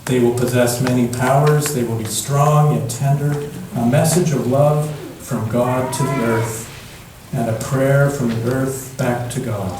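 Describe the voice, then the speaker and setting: A man reading a picture book aloud as a storyteller, in a gentle, measured manner with long pauses. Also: mid-range voice, fairly even intonation. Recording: echoey room from far off, background noise.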